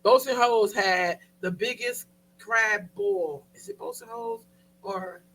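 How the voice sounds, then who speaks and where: A woman's voice: on the high side, wildly swooping pitch, slow, vocal fry, a bit bright, a little hiss or hum, poor audio. A woman in her thirties co-hosting a casual talk show, slangy and playful, often mock-protesting. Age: 30s. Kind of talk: casual banter.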